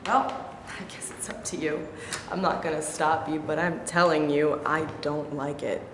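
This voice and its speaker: An actress as a caring, pleading wife. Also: female voice, low voice, fairly even intonation, moderate pace, lightly acted. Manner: pleading, nagging. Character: caring, churchgoing wife of a boxer. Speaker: actress in a staged reading. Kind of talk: staged play reading. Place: large rehearsal studio. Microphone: far camera. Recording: roomy echo with room noise.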